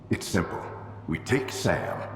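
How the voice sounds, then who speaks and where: A man's voice: sits low, sing-song, slow, heavily acted. A man playing a stubborn, driven ex-soldier father in a video game, speaking tersely. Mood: stubborn, insistent. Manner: terse, insistent. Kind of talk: scripted video game drama. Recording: roomy hall echo, faint noise.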